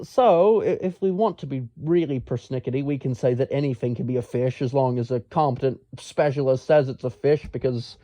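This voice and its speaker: A man telling a casual, rambling story in a pedantic, exasperated, halting manner. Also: mid-range voice, mellow, dry recording. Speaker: storyteller, amateur science enthusiast.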